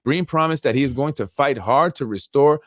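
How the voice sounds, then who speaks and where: A man's voice: medium pitch, moderate pace, dry recording. A man hosting a podcast, reading an article aloud and adding rambling, chuckling asides with many restarts, close to the mic. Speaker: podcast host. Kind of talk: casual political commentary reading an article aloud.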